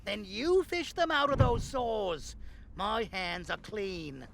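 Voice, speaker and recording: An actor playing a callous, quick-tempered looter leader with theatrical mock courtesy in a horror audio drama. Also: male voice, high-pitched, expressive, vocal fry, fairly bright tone, slight background noise, exaggerated voice. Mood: cold, commanding.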